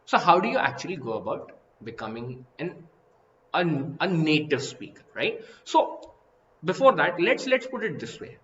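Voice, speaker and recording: A young man with an Indian English accent teaches an IELTS lesson live, animated, asking "right?" and "okay?" again and again. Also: fairly high pitch, normal speed. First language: Hindi. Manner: animated, repeats and rephrases, asks "right?" and "okay?" often. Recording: echoey room, slightly dull sound, faint hiss.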